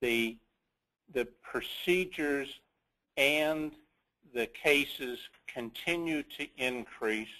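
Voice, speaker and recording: A man with a mid-pitched voice leads an investor call, going through slides of business figures. He speaks slowly and haltingly, pausing inside his sentences as he presents. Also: a bit bright, low-quality recording. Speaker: company executive leading an investor conference call.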